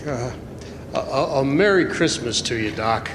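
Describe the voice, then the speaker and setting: A man playing a dazed, meek down-and-out drunk in a radio drama, halting and stammering. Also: mid-range voice, lively intonation, background noise, close to an everyday voice. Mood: shy, grateful. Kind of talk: old-time radio drama acting. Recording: echoey hall stage recording.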